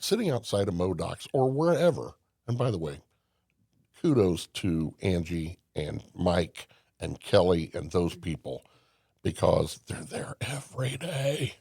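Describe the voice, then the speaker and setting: A man's voice: low voice, lively intonation, unhurried, creaky, warm tone, husky, no echo, clean studio sound. An older man from Indiana, a podcast guest telling folksy stories with emphasis and frequent restarts. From Indiana, USA. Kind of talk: casual conversation.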